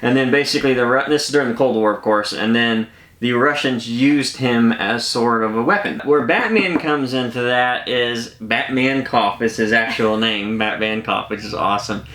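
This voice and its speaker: A man's voice: mid-range voice, moderate pace, a bit bright. Man chatting casually in an echoey room. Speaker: host of a collectibles unboxing channel. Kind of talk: casual unboxing chat. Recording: echoey room, some background noise.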